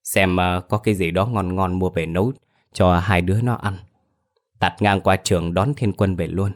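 A man's voice: sits low, steady tone, moderate pace, close to an everyday voice. A man voicing an elderly father who is stern and reproachful yet tender toward his widowed daughter-in-law. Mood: gentle.

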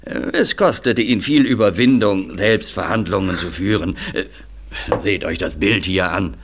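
A man playing an affable, hospitable older man in an audio drama, speaking deliberately with hesitations. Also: sits low, noisy background. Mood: obliging, thoughtful. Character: affable older man, scarred retired film manager breeding budgies. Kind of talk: audio drama dialogue acting.

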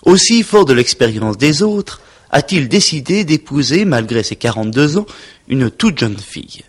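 Husky male narrator. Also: mid-range voice, sing-song, moderate pace. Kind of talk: scripted narration.

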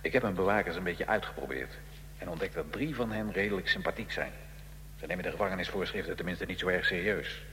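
A man acting in a radio drama as a defiant, wry nineteenth-century Italian revolutionary prisoner, telling his story gravely and with irony. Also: sits low, fast talker, bright, forceful tone, a little hiss or hum. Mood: quiet, dry.

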